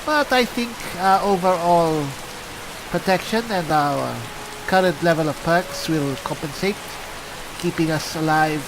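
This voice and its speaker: A man's voice: a little high, measured pace. A man voicing a genial, chatty armored cleric adventurer in game roleplay, musing and conversational. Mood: chatty, explanatory. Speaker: gamer roleplaying his character. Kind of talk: in-character game roleplay narration. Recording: hissy, rain noise throughout. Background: falling rain.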